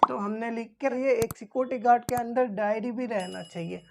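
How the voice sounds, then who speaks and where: A woman's voice: on the high side, fairly quick, vocal fry, background noise. A woman instructor giving an informal explanatory lesson, repeating key points and answering her own questions.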